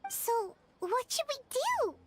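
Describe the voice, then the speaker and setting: A woman voicing a tiny childlike fairy companion in a video game, squeaky, excitable and eager, with a caring streak. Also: takes it slowly, background noise, heavily acted. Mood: questioning, worried. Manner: eager, exclamatory. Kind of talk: cartoonish video game acting. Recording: game music behind the voice.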